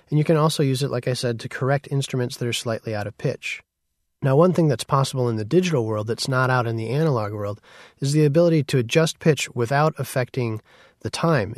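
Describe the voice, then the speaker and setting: Male tutorial instructor, medium pitch, informal conversational delivery, dry studio-style sound. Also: no echo. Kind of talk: casual software tutorial.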